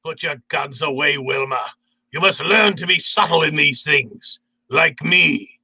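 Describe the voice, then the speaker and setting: An actor playing a suave, wordy crime boss with a pompous, mock-courtly manner in a 1940s-style radio drama. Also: male voice, on the high side, a little slow, pushed and bright, husky, no echo, exaggerated voice, low-quality recording. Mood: smug, mock-courtly.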